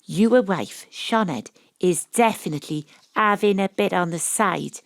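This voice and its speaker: A woman with a Welsh accent playing a brash, wry, tipsy private detective in an audio drama, deadpan on a close microphone. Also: on the low side, measured pace, gravelly, dry recording. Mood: blunt, confiding. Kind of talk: audio drama acting with first-person narration. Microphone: close home studio microphone.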